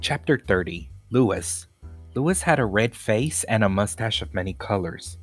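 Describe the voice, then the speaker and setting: A man, a teacher, reading a children's storybook aloud with steady, plain narration. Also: on the low side, moderate pace, faint music behind.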